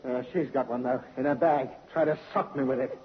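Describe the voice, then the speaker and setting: A man's voice: mid-range voice, fairly even intonation, brisk, natural voice. An actor playing a cocky, sneering male crook in a vintage radio drama. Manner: taunting, sneering. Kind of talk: 1950s radio drama acting. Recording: hissy muffled vintage radio recording.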